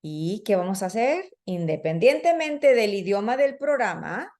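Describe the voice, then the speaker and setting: A woman's voice: mid-range voice, darkish tone. A woman giving a conversational training talk to teachers, using fillers and acting out children and teachers. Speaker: early childhood educator and trainer. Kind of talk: professional development talk to teachers.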